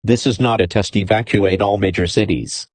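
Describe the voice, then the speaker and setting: A man's voice: on the low side, moderate pace, darkish tone, slight background noise. A man delivering a scripted emergency broadcast announcement in a formal, terse, official manner.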